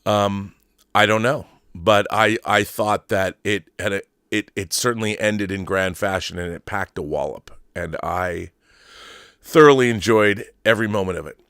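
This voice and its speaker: A man's voice: on the low side, moderate pace, a bit bright, clear, resonant tone, no room sound, studio quality. A middle-aged man hosting a fandom livestream with casual, enthusiastic commentary, rambling and repeating words as he thinks. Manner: enthusiastic, opinionated fan. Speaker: host of a film fandom livestream. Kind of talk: casual commentary, answering viewer messages.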